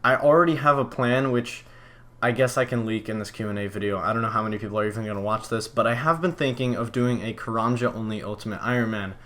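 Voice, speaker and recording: A young man from Poland answering viewer questions in a casual vlog, relaxed and rambling, with plenty of fillers and restarts. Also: fairly low pitch, fast. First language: Polish.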